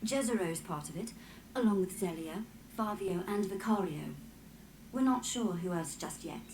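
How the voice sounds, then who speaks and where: Female scholarly advisor for a fantasy game, played by an actress: mellow, measured, explanatory, roomy sound. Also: fairly low pitch, lively intonation, a little hiss or hum, lightly acted. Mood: composed, explaining. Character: composed, matter-of-fact female scholarly advisor. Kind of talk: fantasy video game dialogue.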